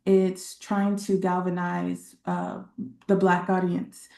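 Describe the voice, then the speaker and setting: A woman from Texas, a community organizer speaking on a webinar panel in a halting way, with many fillers and pauses. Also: fairly low pitch, monotone, vocal fry, darkish tone, roomy sound. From San Antonio, Texas.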